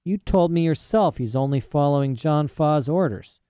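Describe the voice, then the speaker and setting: A man doing a cold, calm aristocrat. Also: on the high side, clear, resonant tone, no room sound, clean studio sound, natural voice. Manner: quiet, measured, detached.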